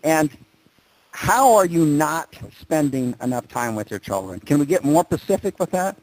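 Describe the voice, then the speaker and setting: A man's voice: fairly high pitch, sing-song, moderate pace, dry recording, low-quality recording. A man leading a group conference call as a coach, directing the other person with probing questions.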